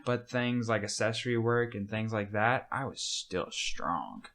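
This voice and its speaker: A man's voice: lowish voice, lively intonation, a little slow, a bit bright. A man in his twenties hosting a podcast, chatting casually in a laid-back, bro-ish way and often trailing off. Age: 20s. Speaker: podcast host. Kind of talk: casual chat.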